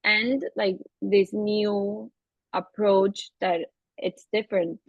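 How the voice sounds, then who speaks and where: A woman's voice: mid-range voice, fairly even intonation, slow, no room sound. Brief: young Venezuelan woman, native Spanish, searches for words, thoughtful, dry and slightly muffled recording. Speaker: guest therapist. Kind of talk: casual interview. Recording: slightly dull lo-fi audio.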